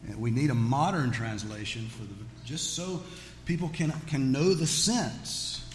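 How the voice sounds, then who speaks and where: A man's voice: medium pitch, a little slow. Man teaching in an echoey hall. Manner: folksy, joking asides. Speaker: Bible teacher. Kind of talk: religious teaching with audience questions. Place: large echoey room with an audience. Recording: echoey hall with background noise.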